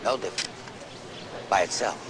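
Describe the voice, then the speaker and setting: Rumpled, curious police lieutenant male actor, audio drama. Slightly low, hesitant, courteous but never lets go; heavy outdoor noise. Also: moderate pace, lightly acted. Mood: quietly persistent. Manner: hesitant, unassuming, politely persistent questioning. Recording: loud street and vehicle noise behind.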